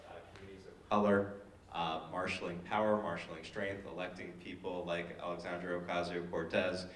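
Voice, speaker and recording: A man with a deep, flat voice delivers political remarks on a panel, stumbling and repeating words. The room is echoey around him. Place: indoor event room. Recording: echoey room, distant mic, faint noise.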